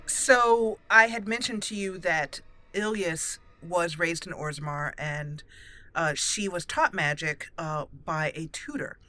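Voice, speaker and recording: A woman's voice: fairly low pitch, slow, sharp tone. A woman giving casual roleplay commentary as the host of a let's play.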